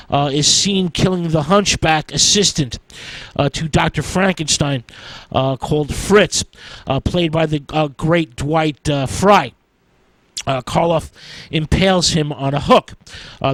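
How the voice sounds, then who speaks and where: A man giving an informal film history talk as a radio presenter, in a halting, hesitant way with an "uh" before nearly every phrase. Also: on the high side, a bit bright, no echo, low-quality recording.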